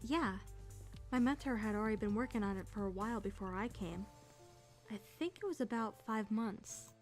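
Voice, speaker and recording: A woman's voice: medium pitch, normal speed, natural voice. A woman playing a sarcastic, easily flustered teenage girl trainee in a visual novel dub, narrating her thoughts and stammering when nervous. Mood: earnest. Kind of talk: first-person visual novel narration and dialogue. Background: music.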